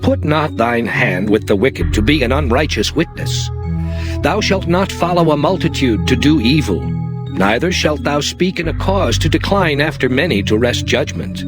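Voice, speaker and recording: Male Bible narrator, solemn, measured, declamatory, animated intonation, dramatized scripture reading, prominent background music. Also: a little high. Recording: music behind the voice.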